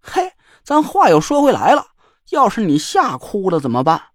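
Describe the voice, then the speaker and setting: A man's voice: sits high, wildly swooping pitch, normal speed, no echo, studio quality, pitched above the performer's own voice, exaggerated voice. A man voicing a cocky, teasing middle-aged man with a bantering, mock-boastful drawl.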